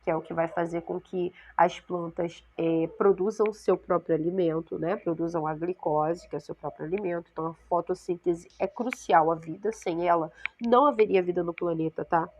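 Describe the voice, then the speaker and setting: A woman's voice: fairly low pitch, fairly even intonation, normal speed, hardly any pauses, a little mellow, muffled recording. A woman with a Brazilian Portuguese accent teaching a science lesson to sixth graders, congested from a cold and often checking in with her class. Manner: explanatory, checks in with frequent "tá?" and "né?".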